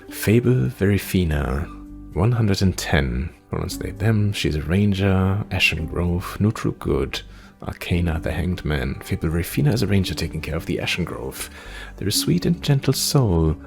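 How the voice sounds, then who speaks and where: A man's voice: sits low, creaky. A man playing a game, reading its text aloud expressively and adding casual commentary. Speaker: gamer playing through a demo.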